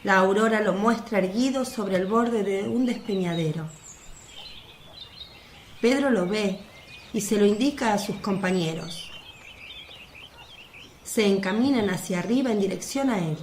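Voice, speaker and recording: A woman narrates a religious radio play at a middle pitch. Her voice rings with echo, and faint birdsong and outdoor ambience sit behind her. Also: measured pace, long pauses. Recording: echoey, faint noise with birdsong.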